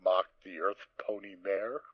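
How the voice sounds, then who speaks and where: A man's voice: low voice, steady tone, a little slow, no room sound, highs cut off. A man narrating a fan audio drama in a storytelling reading style.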